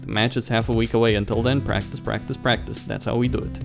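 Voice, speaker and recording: A man's voice: mid-range voice, fairly even intonation, quick pace, vocal fry, natural voice. Guy reading as a laid-back blunt esports captain, matter of fact, game music behind. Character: easygoing, blunt male pro-gamer team captain.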